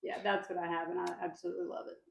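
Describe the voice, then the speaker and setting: A woman's voice: on the low side, flat delivery, very fast, creaky, dull audio. Playful woman, roomy off-mic sound. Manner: playful, teasing. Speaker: co-host, host's wife. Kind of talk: casual chat.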